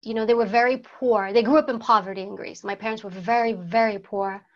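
A woman's voice: medium pitch, fairly even intonation, brisk. A woman, telling her life story earnestly in an interview and repeating words for emphasis. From United States. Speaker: guest, former secret service agent. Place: plain studio backdrop. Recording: slightly dull lo-fi sound.

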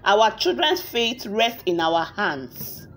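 A woman's voice: medium pitch, very animated, slow, fairly bright tone, heavily acted. A woman voicing a resolute villager in a storybook tale, speaking solemnly and earnestly. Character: resolute villager rallying the others. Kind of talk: amateur storybook character voice.

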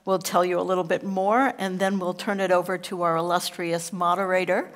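A woman's voice: fairly low pitch, little pitch movement, fairly quick. Woman, New York accent, panel intro, upbeat, joking. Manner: upbeat, joking, many fillers. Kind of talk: conference introduction.

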